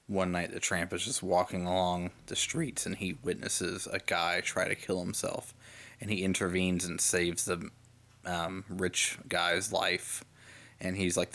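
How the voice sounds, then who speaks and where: A man's voice: sits low, a bit bright. A young man chatting casually in a vlog, rambling and hesitant with lots of fillers, while sick and getting over a lost voice. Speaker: vlogger.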